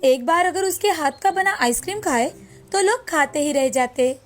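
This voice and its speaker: High-voiced woman storyteller. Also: lively intonation, moderate pace. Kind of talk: scripted narration of a moral story. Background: music.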